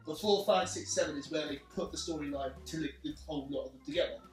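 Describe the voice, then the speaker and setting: Higher-voiced man in an echoey room. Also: fast talker, recorded from a distance. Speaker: panelist on a film review. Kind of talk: casual chat, explaining opinion. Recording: echoey room, off mic, music behind.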